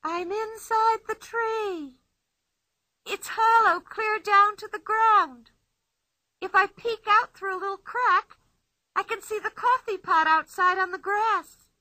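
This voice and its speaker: A bold, imaginative little girl with a very high, oddly stretched voice. She sounds bright and lively. The recording is close and dry. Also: no room sound, exaggerated voice, low-quality recording. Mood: playful. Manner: high, strange, drawn-out girlish voice. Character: bold, playful young girl, wildly imaginative. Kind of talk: storybook reading.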